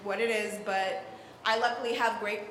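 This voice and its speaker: A woman's voice: medium pitch, steady tone, moderate pace, fairly bright tone. A young adult woman in the audience, rambling and hedging as she makes a long comment. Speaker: audience member. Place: large hall at a public event. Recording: echoey hall, faint room noise.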